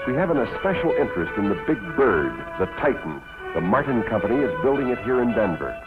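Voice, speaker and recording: An American man narrating a 1950s promotional documentary in a measured, deliberate way with dramatic pauses. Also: medium pitch, big pitch swings. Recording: tinny old film soundtrack, faint music.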